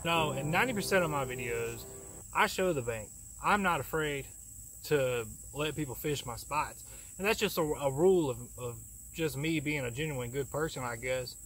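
A man's voice: on the high side, moderate pace, fairly bright tone, breathy edge, rough recording. A young man, giving fishing tips in a chatty, folksy, rambling way, recorded outdoors on a close camera. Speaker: fishing channel host. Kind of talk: casual fishing tips vlog. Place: outdoors in a leafy backyard. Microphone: camera close at arm's length.

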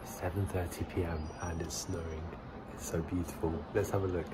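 Upbeat deep-voiced man outdoors. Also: flat delivery, normal speed, heavy background noise. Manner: upbeat, chatty weather updates. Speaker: travel vlogger. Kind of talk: daily outdoor vlog. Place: outdoors in a city. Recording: background music over outdoor ambience.

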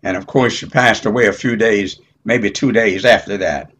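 An elderly man teaching Bible study in a halting, deliberate way, punctuated with amens. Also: lowish voice, fairly bright tone, slight background noise. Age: 70s or older. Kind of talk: informal Bible teaching with scripture reading.

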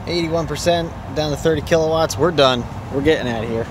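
A man's voice: fairly high pitch, a little fast, noisy background. A man casually narrating a road trip from his car, chatty and upbeat, thinking aloud with fillers. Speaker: vlogger. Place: in a car on the highway.

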